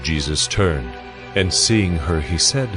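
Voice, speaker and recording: A man's voice: sits low, animated, slow pace. A man narrating a dramatized audio Bible in a solemn storytelling manner. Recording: music behind the voice. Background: background music.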